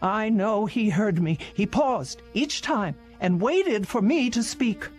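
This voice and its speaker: A woman's voice: mid-range voice, lively intonation, slow, a little mellow. Fretful elderly invalid woman, music behind. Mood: anxious. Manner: agitated, pleading, insistent. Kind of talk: radio drama acting.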